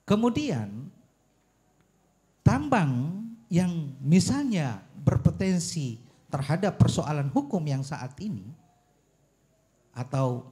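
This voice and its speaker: A man speaking as a panelist at a public forum, arguing a policy point in deliberate, emphatic phrases with rhetorical questions. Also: medium pitch, mellow. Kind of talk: argumentative forum speech on policy.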